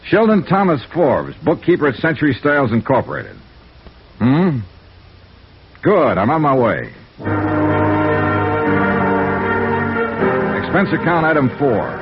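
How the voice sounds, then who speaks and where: An American actor playing a brisk, clipped insurance investigator in an old-time radio noir drama. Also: male voice, on the high side, very animated, faint music behind. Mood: crisp, businesslike. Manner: clipped, businesslike. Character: brisk, hard-boiled male insurance investigator. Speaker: radio drama lead and narrator. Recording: muffled, noisy old radio recording.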